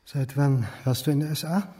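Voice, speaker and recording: Husky, insistent questioner. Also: male voice, fairly high pitch, fairly even intonation, normal speed, dark tone. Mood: probing. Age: middle-aged, about 40. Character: probing, accusing middle-aged son questioning his elder. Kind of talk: radio drama dialogue.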